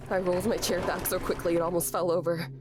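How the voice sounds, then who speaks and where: A woman's voice: fairly low pitch, flat delivery, fast, background noise, lightly acted. An actress playing a grieving, anxious young woman, narrating a horror audio drama in an introspective, bitter, sweary manner. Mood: panicked, desperate. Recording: music behind the voice. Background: music.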